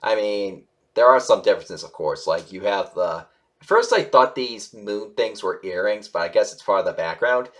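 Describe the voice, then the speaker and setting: A man with a somewhat low voice presents casual online news commentary. He moves between reading aloud and offering his own take. His delivery is halting and rambling, and he often corrects himself and sounds unsure. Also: expressive, fairly bright tone. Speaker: YouTube host reviewing animation news.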